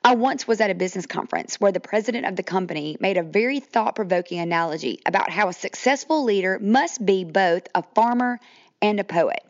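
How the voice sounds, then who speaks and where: A woman from Mississippi with a Southern accent, hosting a podcast in a chatty monologue, her voice croaky from allergies. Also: medium pitch, animated, a little fast, lo-fi sound. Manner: chatty, says "y'all", addresses listeners directly. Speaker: podcast host.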